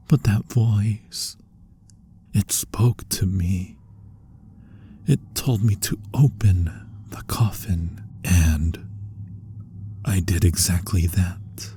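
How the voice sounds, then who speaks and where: Man playing a slow, haunted, anguished vampire. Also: fairly low pitch, slight background noise. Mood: haunted, dreading. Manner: hushed, breathy phrase endings, deliberate dramatic pauses. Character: anguished male vampire, formerly human, confessing to lost love. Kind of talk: slow dramatic ASMR roleplay monologue.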